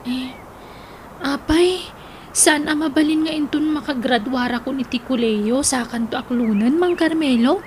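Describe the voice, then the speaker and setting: A shy Filipino schoolgirl of seventeen in a radio comedy. The voice is very high, hesitant and questioning, with faint noise behind it. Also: unhurried. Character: shy, hesitant seventeen-year-old schoolgirl being courted. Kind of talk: comedic Ilocano radio drama acting.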